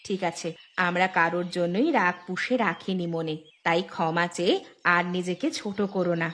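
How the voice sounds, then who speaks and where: A woman voicing a calm, forgiving village food-seller's wife in a moral cartoon, gently admonishing. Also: lowish voice, moderate pace, close to an everyday voice. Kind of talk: Bengali moral cartoon acting.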